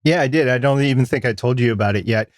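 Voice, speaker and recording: Male podcast co-host, medium pitch, informal explanatory talk, conversational delivery, very dry studio-like sound. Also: quick pace, dry recording.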